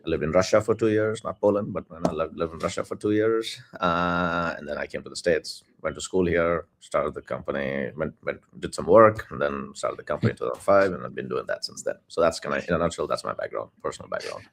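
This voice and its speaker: A man from India, a company founder chatting as a guest in an interview, talkative and quick to laugh, often repeating his words. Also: a bass voice, creaky. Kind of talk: casual video-call interview.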